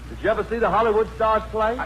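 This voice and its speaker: Man as a high-voiced breezy boastful joker. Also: steady tone, very fast, close to an everyday voice. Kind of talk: 1940s radio comedy acting. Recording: noisy old radio recording. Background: rain sounds.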